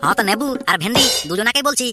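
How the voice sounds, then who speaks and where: A man's voice: extremely high pitch, animated, very fast, over music. A man playing a bossy village lad who leads his friends in an amateur cartoon, with a Purulia Bengali accent. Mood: eager, bossy. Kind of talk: amateur cartoon comedy dialogue.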